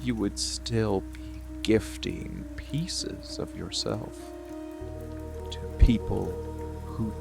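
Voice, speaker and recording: Man acting a very gravelly, slow seductive monster. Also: heavily acted. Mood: grave, controlling. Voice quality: low gravelly voice, breathy whispered edges. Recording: music and rain behind voice. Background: music, rain.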